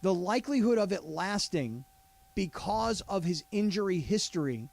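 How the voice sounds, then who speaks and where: A man co-hosting a sports talk radio show, animated and prone to interrupting and repeating himself in studio banter. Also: high voice, moderate pace, vocal fry, no room sound. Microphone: studio mic.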